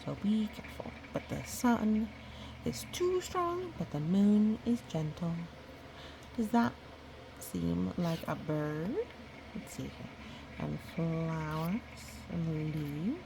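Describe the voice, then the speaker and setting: A woman reading a children's picture book aloud, gently, breaking off for musing, chatty asides to a young listener. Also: lowish voice, expressive, takes it slowly, gravelly, noisy background. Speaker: storybook reader.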